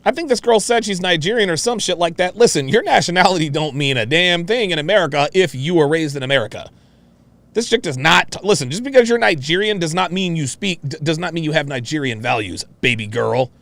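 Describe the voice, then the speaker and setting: Male commentary host, brash and foul-mouthed, higher-pitched voice, very expressive, mocking delivery. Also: a little fast, no echo. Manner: brash, mocking, profane, repetitive. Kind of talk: reaction commentary on a played clip.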